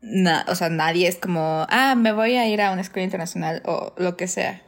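A young woman with a Mexican Spanish accent, co-hosting a podcast in casual chat, chiming in often with quick agreeing interjections. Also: fairly low pitch. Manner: quick affirming interjections, relaxed conversational back-and-forth. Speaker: podcast co-host.

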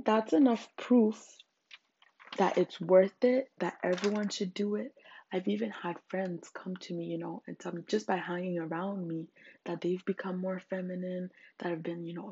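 A woman's voice: lowish voice, fairly even intonation, normal speed, warm tone, dull audio, a little hiss or hum. A young Zimbabwean woman, speaking English as a later language, hosting her own podcast in an earnest, emphatic way. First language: not English. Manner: earnest and emphatic, frequent fillers. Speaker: podcast host. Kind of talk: solo podcast monologue.

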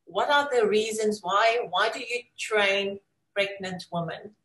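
A woman hosting an interview, speaking in a measured, encouraging way as she asks her guest questions. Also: mid-range voice, a bit bright, low-quality recording. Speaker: interview host.

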